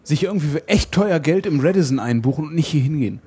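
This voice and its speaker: Casting: man, highly animated intonation, contrarian conversational sidekick who teases and interjects. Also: fast talker. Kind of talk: casual, bantering chat.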